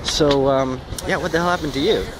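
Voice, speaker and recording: A man's voice: fairly high pitch, fairly quick, noisy background. A man reporting on location from a city park, casual and informal, then interviewing a guest. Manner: relaxed and informal, with fillers.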